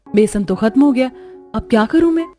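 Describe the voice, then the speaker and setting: A woman's voice: fairly high pitch, expressive, fairly quick, dark tone, soft background music, close to an everyday voice. A woman voicing a dutiful, harried young daughter-in-law in a cartoon story. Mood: worried. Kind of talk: Hindi cartoon story dialogue.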